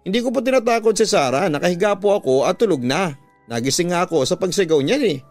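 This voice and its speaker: A young Filipina daughter acted by a male narrator protests in a radio drama. The pitch is lifted and the tone is defensive, with faint music behind. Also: animated, quick pace. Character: young daughter defending herself to her mother.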